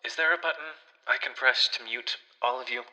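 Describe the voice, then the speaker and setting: A man's voice: medium pitch, a little fast, bright, forceful tone, in a large hall, poor audio. A man playing an irritated, pedantic, formally spoken grump in a comedic audio drama. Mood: fed up. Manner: dry, formal, fed up.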